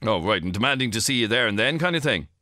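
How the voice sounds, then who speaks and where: Middle-aged Irish male talk-radio host; crisp bright tone, animated pitch, halting probing questions. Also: no echo, studio quality. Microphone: studio microphone.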